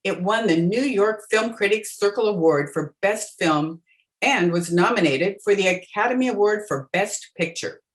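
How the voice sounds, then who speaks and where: A woman's voice: medium pitch, animated, normal speed, rough recording. A woman hosting, reading a scripted introduction to a radio drama. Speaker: host.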